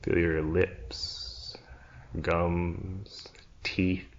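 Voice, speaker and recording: Guy leading guided meditation, super slow n soothing, lots of pauses, kinda dull muffled live recording. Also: low-pitched, little pitch movement. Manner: hushed, soothing, with long pauses between short phrases. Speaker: yoga nidra teacher. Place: church room, live class.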